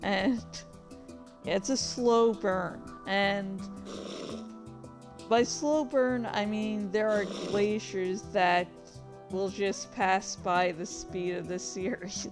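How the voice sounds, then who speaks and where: A woman's voice: medium pitch, fairly even intonation, slow pace, music in the background. A woman streamer chatting casually, rambling along with distracted asides. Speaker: streamer and indie author. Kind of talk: casual livestream chat.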